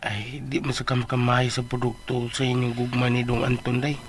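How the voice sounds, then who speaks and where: A man acting in a radio drama as a chatty, comic household helper who talks in an animated, colloquial way. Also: mid-range voice, normal speed, a little hiss or hum, lightly acted.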